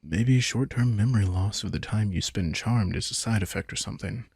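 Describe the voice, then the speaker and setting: A man playing a playful, smug vampire in an intimate roleplay audio drama, casual, sardonic and teasing, with a husky, gravelly voice. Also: on the low side, fairly quick, a little mellow, no echo, clean studio sound. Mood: casual, coaxing. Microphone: close, dry studio microphone.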